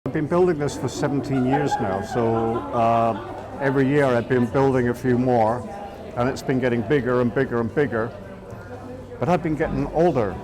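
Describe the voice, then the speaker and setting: A man's voice: medium pitch, normal speed, music in the background. A middle-aged man from England with a southeast English accent, an artist speaking casually in an interview, hesitant with many fillers and restarts. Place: outdoors in a town.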